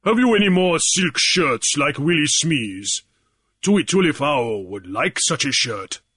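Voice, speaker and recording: A man voicing a massive, solemn male guard commander who speaks slowly. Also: fairly high pitch, big pitch swings, a bit bright, husky, dry recording, clean studio sound, full character voice.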